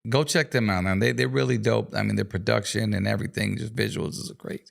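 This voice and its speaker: A man's voice: fairly low pitch, fairly even intonation, fast, gravelly. A middle-aged man with an American accent chatting casually as a podcast guest, slangy, joking and self-deprecating, a veteran rapper.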